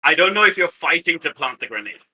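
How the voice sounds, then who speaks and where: A man joins in a tabletop role-playing game with casual, playful chat. His voice is fairly high and bright, and its pitch moves around in an animated way. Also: quick pace, breathy edge.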